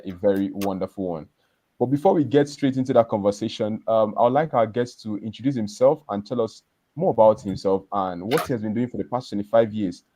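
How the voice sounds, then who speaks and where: A male podcast host with a low, mellow voice. He talks in a rambling, loose way, often restarting and repeating words. The sound is close and dry. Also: no room sound. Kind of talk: live podcast hosting.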